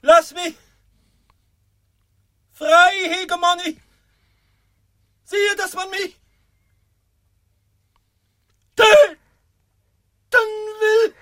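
A gentle prisoner preacher in a radio drama. His voice is very high for a man and slow, solemn and pleading, earnest and steadfast in his belief. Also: dry recording, studio quality, heavily acted. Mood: frightened pleading. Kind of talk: literary radio play acting.

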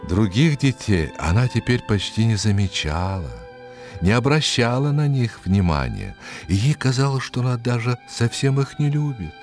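A man narrating a fairy tale expressively, in a measured way with dramatic pauses. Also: medium pitch, sing-song. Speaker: storyteller. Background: music.

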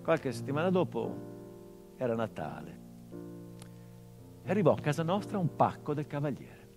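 An actor playing a hardened, grieving middle-aged son, delivering a measured theatrical monologue that drops to hushed tones. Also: male voice, medium pitch, huge pitch swings, creaky, music in the background. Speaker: stage actor.

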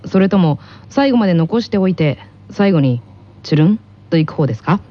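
A woman voicing a calm, polite middle-school boy who hosts an anime radio school broadcast in a measured, gentle manner. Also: fairly low pitch, pitched below the performer's own voice, natural voice. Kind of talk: anime character radio segment. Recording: slightly dull radio recording, faint noise.

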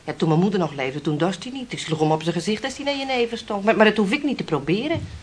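A woman's voice: mid-range voice, animated, quick pace, slight background noise, close to an everyday voice. An actress playing a chatty, frank young woman engaged to be married, in a Dutch radio play. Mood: troubled.

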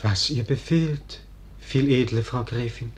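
An actor playing a deferential young page in a 1940s German radio drama, with a courtly, servile manner. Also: male voice, medium pitch, a little slow, slight background noise, natural voice. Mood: dutiful. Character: young page boy, deferential, eager to obey.

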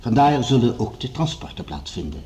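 An actor playing a commanding project leader, speaking formally and with measured authority in a radio drama. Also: male voice, mid-range voice, big pitch swings, mellow, lightly acted. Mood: formal, rallying. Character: commanding male project leader addressing assembled scientists. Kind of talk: radio drama acting, formal speech-making.

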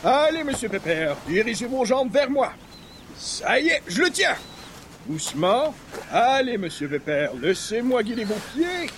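An actor playing a courteous, bookish newspaper columnist in a radio comedy, formal and wordy. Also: male voice, extremely high pitch, big pitch swings, unhurried, fairly bright tone, slight background noise, exaggerated voice. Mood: urgent, guiding. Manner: formal, over-polite, wordy. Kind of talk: old-time radio comedy acting.

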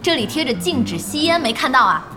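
Feisty, high-pitched young cafe boss. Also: female voice, very animated, fairly quick, over music. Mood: annoyed. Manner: brash, exclaiming, scolding. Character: feisty, blunt young woman who runs an internet cafe. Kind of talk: Chinese audio drama acting.

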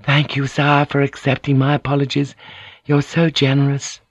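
A man voicing a soft, apologetic Japanese noblewoman who is cunning and deferential in an audiobook. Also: a little high, moderate pace, no room sound, pitched above the performer's own voice. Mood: meek flattery. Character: penitent, cunning Japanese noblewoman, flattering and meek. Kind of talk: audiobook character acting.

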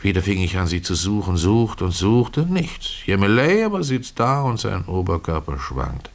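Casting: poor pious tailor, low-pitched, plain-spoken, folksy and brooding, confiding storyteller for radio drama. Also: male voice, sing-song, normal speed, heavily acted. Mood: suspicious, brooding. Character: humble poor Russian tailor, plain-spoken, pious, retelling his regrets. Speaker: narrator of a literary radio play. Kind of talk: dramatic first-person storytelling.

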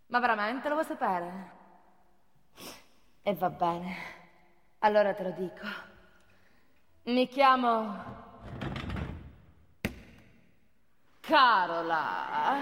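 A flirtatious, easily flustered woman on a phone date. Her voice is high and coy, and she giggles and repeats herself as in a comic stage monologue. It is recorded in a reverberant hall with some noise. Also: a bit bright, full character voice. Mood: coy, teasing. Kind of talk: comic theatre monologue as one side of phone call. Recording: echoey theatre hall, clear background noise.

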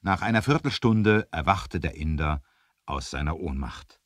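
A male narrator with a very low voice tells an adventure story as scripted radio play narration. His delivery is dramatic, and the sound is close and dry. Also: expressive, measured pace, no room sound.

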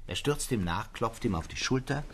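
An actor playing a brooding, fervent literary storyteller in a radio drama, speaking deliberately in old-fashioned literary phrasing. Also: male voice, medium pitch, lively intonation, a bit bright, a little hiss or hum. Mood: animated storytelling.

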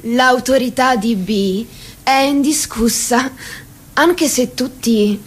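A woman's voice: fairly high pitch, takes it slowly, slightly rough. A woman performing as a wry narrator in a stage drama, delivering scripted storytelling. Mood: suspenseful.

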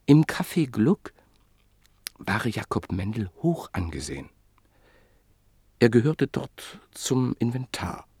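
A man narrating a classic literary radio play in a measured, reflective way that sometimes sinks to a near whisper. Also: mid-range voice, very animated, a little mellow, slightly rough, dry recording.

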